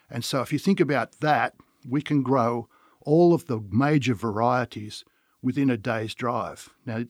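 A man with an Australian accent, a winemaker guest on a podcast, telling his story in a relaxed way with some restarts. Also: mid-range voice, dry recording, studio quality. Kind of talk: casual podcast interview.

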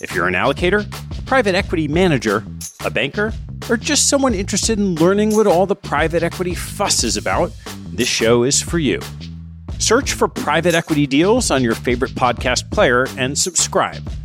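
A man's voice: a little high, sing-song, normal speed, music in the background. A man hosting an investment podcast, reading a scripted introduction and then opening an interview. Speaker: podcast host.

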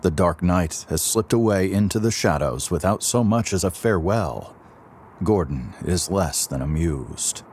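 An actor as the narrator of an audio drama, a husky man delivering solemn, dramatic scripted narration. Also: low-pitched, expressive, measured pace, a little mellow, slight background noise. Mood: wry, dramatic. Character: solemn, dramatic male storyteller of superhero adventure.

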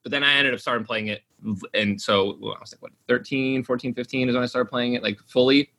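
A man's voice: medium pitch, fairly even intonation, fast talker, pushed and bright. Rambling man in his twenties. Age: 20s. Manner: rambling, many fillers and restarts. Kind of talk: casual chat.

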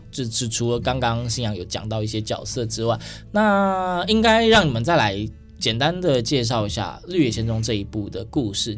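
A man with a Taiwanese Mandarin accent hosting a casual interview in a radio studio. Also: medium pitch. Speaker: radio show host.

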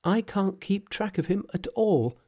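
Man doing a timid frail girl, high and faint, lively pitch. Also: unhurried. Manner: faint, trembling, pleading. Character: frail frightened young woman, timid.

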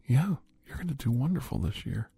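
Breathy, dark-toned man whispering. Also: mid-range voice, big pitch swings, fairly quick, no room sound. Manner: soft, whispery, chatty and gently teasing. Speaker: ASMR roleplay host playing a caregiver. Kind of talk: whispered ASMR roleplay chat. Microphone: close microphone.

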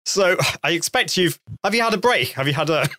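A chatty male host with a bright, forward tone and a voice that climbs high when he gets excited. His sound is dry and close, with little room around it. He talks in quick bursts, restarting phrases as he goes. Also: no echo. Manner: chatty, lively, often restarts and laughs. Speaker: podcast host. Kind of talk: casual live-stream interview.

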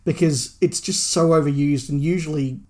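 A man's voice: fairly high pitch, fairly even intonation, normal speed, darkish tone. A man co-hosting a podcast, talking casually and musingly through fan news. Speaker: podcast co-host. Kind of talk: casual discussion of Doctor Who fandom news.

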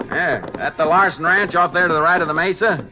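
An American actor playing a shrewd, dry-humored Texas Ranger investigator in a measured, matter-of-fact way for a 1950s radio western. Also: male voice, fairly high pitch, close to an everyday voice. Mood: watchful. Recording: muffled, noisy old 1950s radio recording.

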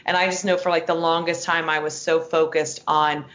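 A woman hosting a podcast, a little hoarse, chatting casually with lots of "like" and restarts. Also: low voice, flat delivery, normal speed, fairly bright tone, roomy sound, muffled recording. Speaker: podcast host. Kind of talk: casual interview chat and solo outro.

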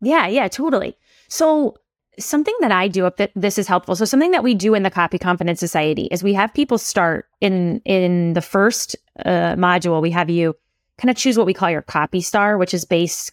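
Woman, podcast guest, copywriting coach, low voice, chatty with many fillers, dry close recording. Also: no echo. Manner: chatty, lots of "like" and "you know" fillers. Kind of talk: casual podcast conversation.

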